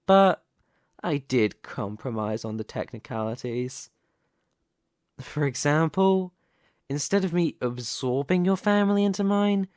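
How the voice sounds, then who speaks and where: A commanding mafia boss, acted by a man for an ASMR roleplay, speaking slowly and deliberately in a mid-pitched voice. He is possessive and persuasive, with measured menace, and the recording is close and dry. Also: no room sound, lightly acted. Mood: pleased, affectionate. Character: commanding, possessive young male mafia boss, devoted lover.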